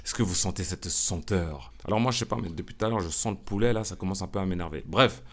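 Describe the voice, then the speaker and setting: A man's voice: quick pace, a bit bright. A middle-aged man hosting a solo podcast, joking and chatty, full of asides and self-mockery. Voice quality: smooth low voice. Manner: playful, self-mocking, lots of asides and "hein". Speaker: podcast host. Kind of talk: casual solo podcast monologue.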